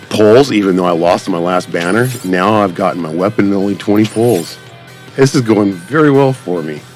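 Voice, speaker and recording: Deep-voiced man, casual gaming commentary. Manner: rambling, addresses viewers directly. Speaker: gaming channel host. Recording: background game music.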